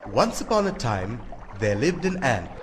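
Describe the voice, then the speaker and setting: A man's voice: mid-range voice, sing-song, measured pace, slight background noise. A man narrating a scripted fable for children.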